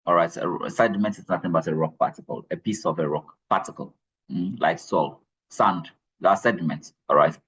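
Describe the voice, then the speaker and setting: A man's voice: deep voice, fairly quick, a bit bright, lo-fi sound, slight background noise. A man giving a geology lecture to students, repeating key points and checking in with "all right?". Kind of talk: classroom lecture.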